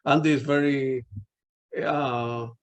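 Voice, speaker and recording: A man's voice: medium pitch, fairly even intonation, slow, highs cut off. A man, interviewing a guest in a casual chat, asking long rambling questions with fillers. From Chile.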